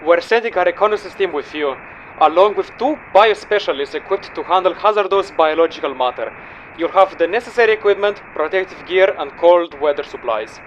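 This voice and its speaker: A stern male mission handler, acted by a man with a high voice for a man. He briefs formally and curtly in a game audio log, businesslike and unyielding, over faint background noise. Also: animated, a little fast, rough recording. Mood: measured, official.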